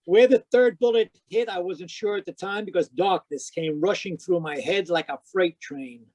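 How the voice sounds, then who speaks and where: Male actor sardonic detective narrator, high for a man, 1940s radio mystery style, no room sound. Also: normal speed, darkish tone, no echo, muffled recording. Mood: wry narrating. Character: wisecracking private detective, sardonic and unflappable. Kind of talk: old-time radio detective first-person narration.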